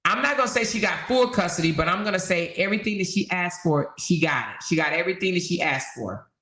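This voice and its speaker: A woman talks straight to her livestream audience, stressing every point and circling back to repeat it. She keeps checking in with quick rhetorical questions. Her voice rings off the walls of an echoey room. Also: on the low side, lively intonation, pushed and bright. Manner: emphatic and repetitive, hammering points with rhetorical questions. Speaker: livestream host. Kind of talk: casual livestream commentary, reading viewer comments.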